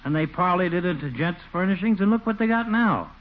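An actor playing a folksy, slangy, needling small-town pal in a 1940s radio comedy, on a thin, noisy old recording. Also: male voice, high-pitched, expressive, a little fast, phone-quality sound. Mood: flattering, needling.

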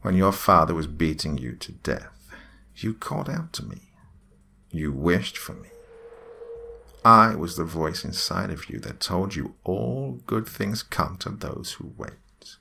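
A man voicing an evil alien demon queen in a horror story, with a raspy, slithering, mock-tender and menacing delivery. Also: low voice, sing-song, unhurried, sharp tone, slight background noise, pitched above the performer's own voice, heavily acted. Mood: taunting.

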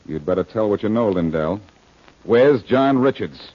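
A man's voice: fairly low pitch, expressive, normal speed, warm tone. An American actor playing a confident, commanding, wisecracking male sleuth in 1940s radio detective style. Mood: accusing. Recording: dull old radio recording.

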